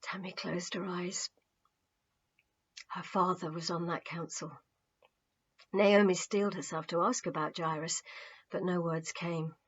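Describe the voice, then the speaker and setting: A woman reading a story as a dramatic narrator, expressive and acting out the moments, on a muffled home recording. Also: fairly low pitch, unhurried, long pauses, husky. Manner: expressive, acts out dialogue. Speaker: author reading own book.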